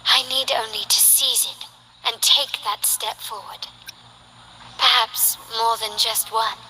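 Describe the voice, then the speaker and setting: A woman playing a poised, ambitious young noblewoman in a video game, speaking in an elegant, formal, measured way. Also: on the high side, steady tone, sharp tone, a little hiss or hum, heavily acted, low-quality recording. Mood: proud, determined. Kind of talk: scripted video game character voice lines.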